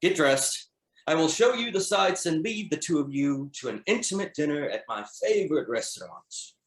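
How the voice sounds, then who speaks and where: A man acts out a confident, playful gondolier who is proud of his city. His fairly high voice swings in pitch with expansive, teasing flair, as in a screenplay read aloud in a roomy, echoing space. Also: lively intonation, normal speed. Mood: smooth, teasing. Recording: echoey, roomy sound.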